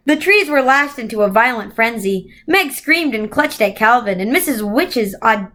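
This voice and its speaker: A woman reading a children's novel aloud in an expressive storytelling way. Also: a little high, moderate pace.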